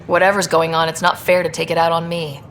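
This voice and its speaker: A calm young explorer speaks levelly and matter-of-factly. Her voice is low and gravelly with fry. This is game dialogue with a faint hum in the background. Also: fast talker.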